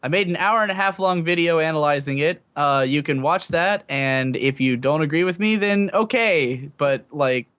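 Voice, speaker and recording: A man's voice: a little high, normal speed, few pauses, fairly bright tone, clean tone. A man talking casually on a podcast in a self-assured, opinionated, rambling way. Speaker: podcast guest, anime reviewer.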